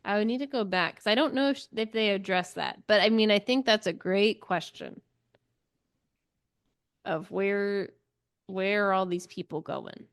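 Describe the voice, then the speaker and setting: A woman's voice: mid-range voice, moderate pace. A woman co-hosting a podcast, talking about a book in a casual, tentative and agreeable way. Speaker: book club podcast co-host. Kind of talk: casual book discussion chat.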